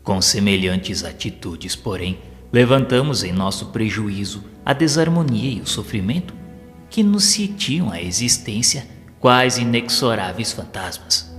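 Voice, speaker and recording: A man reading a solemn audio novel in a measured, formal, sermon-like way. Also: on the low side, fairly bright tone. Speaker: audiobook narrator. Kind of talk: solemn scripted narration of a spiritist audio novel. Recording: long reverb with music underneath. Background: music.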